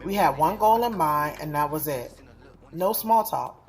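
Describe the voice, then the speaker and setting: A woman's voice: low-pitched, lively intonation, a little slow. A woman playing a frank, sassy young woman in an audio drama, candid and slangy as she tells of her love life. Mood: confessional, frank. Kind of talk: first-person audio drama narration and dialogue.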